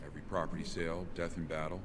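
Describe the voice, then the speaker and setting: An actor playing an earnest, pious dwarf missionary in a fantasy video game, speaking in a solemn, measured way. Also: male voice, a bass voice, little pitch movement, a little hiss or hum. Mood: earnest, devout.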